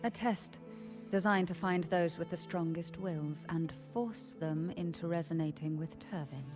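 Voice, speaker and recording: A woman playing a composed, secretive noblewoman in a video game, speaking in a measured, formal way. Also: fairly low pitch, music in the background, natural voice. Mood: coolly teasing. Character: enigmatic noblewoman, composed, secretive head of a fallen family. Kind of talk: video game character dialogue.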